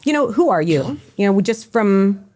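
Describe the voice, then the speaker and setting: A woman's voice: mid-range voice, big pitch swings, normal speed, darkish tone. A woman co-hosting a podcast, speaking with enthusiasm and frequent affirming interjections. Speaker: podcast co-host. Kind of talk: interview conversation.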